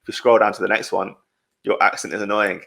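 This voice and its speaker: Young English male podcast host, low gravelly voice, easygoing and chatty. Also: little pitch movement, quick pace, bright, forceful tone. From England, Midlands. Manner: chatty, reads out comments, laughs often. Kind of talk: casual podcast chat.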